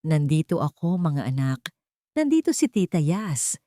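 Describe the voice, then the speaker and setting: Woman playing a gentle, devoted nanny. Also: sits low, big pitch swings, measured pace, no room sound, studio quality. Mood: calling out. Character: devoted, soothing young nanny, female.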